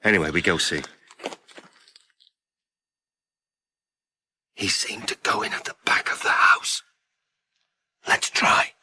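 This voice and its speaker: An actor playing an earnest, worried police detective in a radio crime drama, cautious but insistent, sometimes hesitant. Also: male voice, low-pitched, normal speed, bright, forceful tone, breathy edge, in a whisper, heavily acted.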